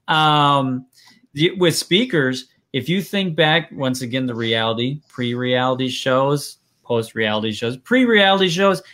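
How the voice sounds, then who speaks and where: A man's voice: medium pitch, a bit bright. Chatty man with a Wisconsin accent. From Wisconsin, USA. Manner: rambling, restarts sentences often. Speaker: podcast host. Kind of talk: casual interview chat.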